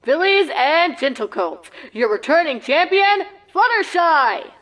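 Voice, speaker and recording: A woman voicing showy, over-excited cartoon pony sports announcers in grandiose mock commentary. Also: high voice, pitched above the performer's own voice, full character voice. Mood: grand. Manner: grandiose, drawn-out introductions.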